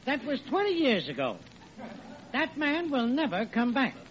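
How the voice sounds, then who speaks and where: An actor playing a droll, unhurried sandwich seller spinning a tale in an old-time radio comedy. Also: male voice, very high-pitched, wildly swooping pitch, a bit bright, heavily acted. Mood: droll, wry. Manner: droll storytelling. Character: droll, slow-to-serve station sandwich man, runaway husband. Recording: muffled, noisy old radio broadcast.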